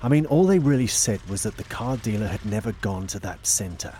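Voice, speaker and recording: A man's voice: fairly low pitch, expressive, a little fast, dark tone, a little hiss or hum, close to an everyday voice. A man acting in an audio drama as an uneasy, doubting private investigator with a hesitant, questioning way of talking. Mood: doubtful. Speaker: voice actor.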